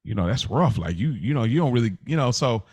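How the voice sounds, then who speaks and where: A man's voice: medium pitch, lively intonation, fast, a little mellow, slightly rough. Colloquial man, emphatic and repetitive. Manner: colloquial, emphatic, repeats phrases; reflective, empathetic. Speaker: podcast co-host. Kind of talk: casual personal chat.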